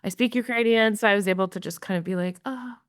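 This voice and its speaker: A woman in her thirties, a journalist guest talking fast and wryly with lots of fillers in a casual interview. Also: mid-range voice, clean tone, dry recording, studio quality. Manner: fast, filler-heavy, wry.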